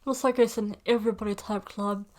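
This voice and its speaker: A woman's voice: mid-range voice, fairly even intonation, a little fast, music in the background. Rambling woman chatting casually to camera. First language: English. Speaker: reaction video host. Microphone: USB desktop microphone.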